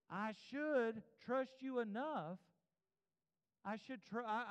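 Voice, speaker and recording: A man's voice: high-pitched, clean tone. A man in his fifties preaching a church sermon, speaking earnestly and directly to his congregation in repeated, exhorting phrases. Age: 50s (52). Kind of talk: evangelical church sermon with altar call. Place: church service before a congregation. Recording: muffled, reverberant large room.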